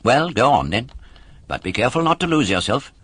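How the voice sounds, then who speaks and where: A kindly storybook fairy girl, played by a man, talks gently and reassuringly. The voice lilts upward with a soft husk and a sisterly authority. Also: mid-range voice, big pitch swings, a little fast, breathy edge, dry recording, voice pushed up.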